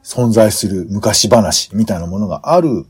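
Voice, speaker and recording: A man's voice: fairly low pitch, expressive, measured pace, darkish tone, slightly rough. A man in a casual planning discussion as a podcast host, speaking hesitantly as he searches for his words.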